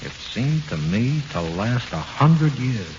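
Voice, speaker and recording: A man's voice: mid-range voice, very animated, slow. An actor playing a dead man's ghost, a cold, sly American tough guy, slangy and coaxing, in a 1940s radio horror play. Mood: grim, menacing. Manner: slangy, matter-of-fact, coaxing. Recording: muffled, hissy 1940s radio recording.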